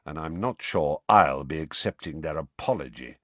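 A low, brusque wizard speaks abruptly with a bitter edge, put on by a male narrator. He is curt and resentful. The recording is dry and close. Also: lively intonation, normal speed, a bit bright, dry recording. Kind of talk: audiobook character voice.

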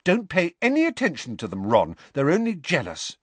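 A man plays an earnest storybook schoolgirl in her teens, a little bossy. The voice sits high and moves in a lively, expressive way. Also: normal speed, husky, no room sound, full character voice. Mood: indignant. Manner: earnest, tentative or indignant. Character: earnest, bossy teenage girl, quick to bristle.

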